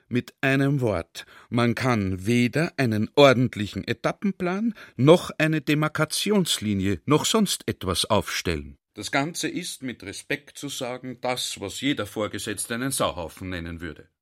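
An actor playing a talkative, earnest army general in a radio drama, confiding and baffled by ideas. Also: male voice, medium pitch, sing-song, measured pace, hardly any pauses. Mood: exasperated.

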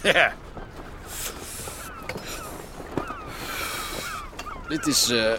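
Brash, grumbling young errand boy, animated voice. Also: mid-range voice, unhurried, background noise. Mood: wary, gruff. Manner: slangy, grumbling, blunt. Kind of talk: naturalistic Dutch radio drama acting.

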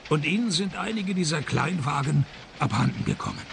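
Knarziger, trockener Privatdetektiv. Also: male voice, a little high, a little fast, gravelly, a little hiss or hum. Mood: dry questioning. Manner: dry, sardonic, deadpan. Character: wry, deadpan private detective narrating his own cases. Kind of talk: radio play detective narration and dialogue.

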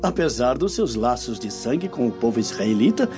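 Flamboyant young-spirited noble played by a man, animated, theatrical, muffled radio play audio. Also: mid-range voice, moderate pace, close to an everyday voice. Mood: admiring. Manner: effusive, theatrical. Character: enthusiastic, flamboyant Roman nobleman, charming admirer. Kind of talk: radio drama acting. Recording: dull lo-fi audio with music.

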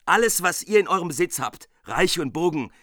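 An actor playing an arrogant, boastful young warrior hero in a German radio drama. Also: male voice, fairly high pitch, lively intonation, a little fast, a bit bright, dry recording, clean studio sound. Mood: boastful, menacing. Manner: boastful, provocative.

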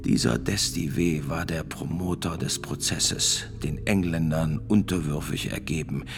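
An actor playing a grave priest and notary of sixty-six, testifying in a radio drama with gravelly, deliberate, formal speech. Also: male voice, a bass voice, steady tone, music in the background, exaggerated voice. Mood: grave, bitter. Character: sixty-six-year-old male priest and court notary, grave witness.

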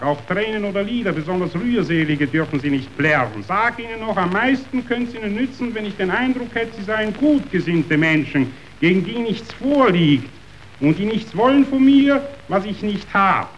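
A man's voice: high voice, fairly bright tone, lo-fi sound, a little hiss or hum. A man acting a domineering, scornful meat tycoon in a verse radio play, declaiming theatrically.

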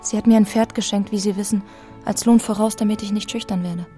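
An actress playing a steadfast, composed young woman in a literary radio drama, soft, earnest and formal. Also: medium pitch, steady tone, fast talker, faint music behind, natural voice. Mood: resolute.

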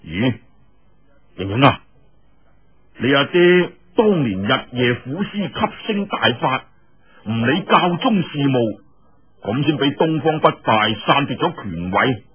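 A man voicing a young swordsman hero in a story, curious, familiar and colloquial, with a husky voice and a Cantonese delivery. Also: medium pitch, very animated, slow pace, long pauses, heavily acted. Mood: knowing, teasing. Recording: muffled, dull lo-fi audio.

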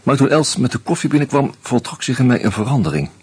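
An actor playing an anxious out-of-work husband who narrates in the first person, wry and hesitant, in a radio play. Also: male voice, mid-range voice, big pitch swings, fast talker, darkish tone, husky.

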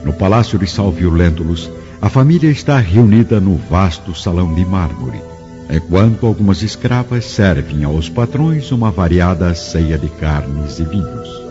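A man's voice: low voice, animated, unhurried. A man narrating a scripted radio drama in a formal, dramatic manner. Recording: muffled recording with music underneath.

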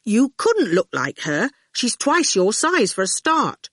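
A polite storybook boy voiced by a woman reader. He is mild and reasonable, with lively, slightly lifted pitch and a dry, close sound. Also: takes it slowly, no echo, full character voice. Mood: amused. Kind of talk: audiobook character voice.

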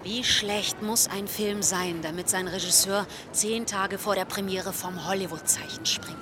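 An actress playing a probing female TV news reporter in a radio drama, crisp and insistent, speaking over outdoor city noise. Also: mid-range voice, huge pitch swings, measured pace. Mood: dramatic. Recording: noisy outdoor city street with traffic.

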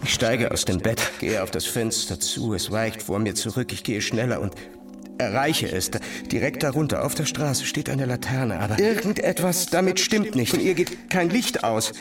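An anxious, entranced dreamer played by a man speaks from a little distance over clear music. His delivery is intense and halting, in short breathy phrases, like an inner voice in a literary audio drama. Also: mid-range voice, lively intonation, close to an everyday voice. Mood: uneasy, entranced. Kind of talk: literary audio drama, present-tense stream of consciousness.

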